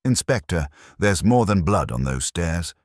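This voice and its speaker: A man reads as an eager, observant cleaning woman in a mystery story. The voice is very deep, emphatic and matter-of-fact, with lively movement in pitch. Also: unhurried, no room sound, clean studio sound, heavily acted. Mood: eager, insistent. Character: observant, eager female caretaker reporting findings.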